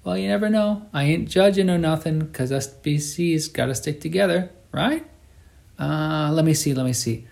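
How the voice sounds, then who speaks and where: A man's voice: a little high, normal speed, creaky, darkish tone, pitched above the performer's own voice. A man reading as a chatty, nosy male cab driver with a sing-song voice. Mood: chummy. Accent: northern provinces sing-song. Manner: sing-song, gabby, slangy.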